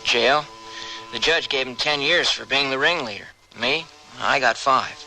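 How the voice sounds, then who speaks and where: A man's voice: medium pitch, a little slow, pushed and bright, soft background music. A man playing a regretful, folksy young ex-convict cowboy in an old-time radio western. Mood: regretful, frank. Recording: slightly noisy radio drama recording.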